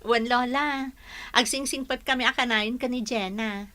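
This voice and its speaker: A woman's voice: fairly high pitch, fairly even intonation, moderate pace, sharp tone. A woman with a Filipino accent acting an eager, respectful young student teacher, polite and earnest, in a radio drama.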